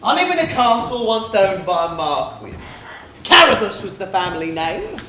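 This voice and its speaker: Shrill, wicked old pantomime crone. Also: male voice, very animated, moderate pace, slight background noise, exaggerated voice. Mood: gleefully scheming. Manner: gleefully wicked, cackling, hectoring. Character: devious, boastful, wily old crone, pantomime villainess. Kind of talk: theatrical pantomime rhyme and song. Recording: roomy stage sound with loud music.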